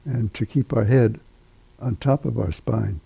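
Meditation teacher, older male, very dark mellow tone, husky, halting delivery with long pauses. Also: fairly low pitch, no room sound. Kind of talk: guided meditation instruction.

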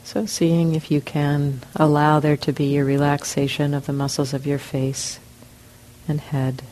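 A woman leads a guided meditation, speaking slowly in short phrases with long pauses. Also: low-pitched, level tone, warm tone, rough recording. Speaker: meditation teacher. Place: meditation center hall.

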